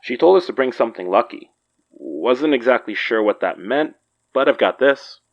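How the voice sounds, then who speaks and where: An animated, baffled young wisecracker. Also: male voice, mid-range voice, moderate pace, dull audio. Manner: incredulous, wisecracking. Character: jittery, baffled young man, sarcastic everyman. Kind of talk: naturalistic audio drama acting.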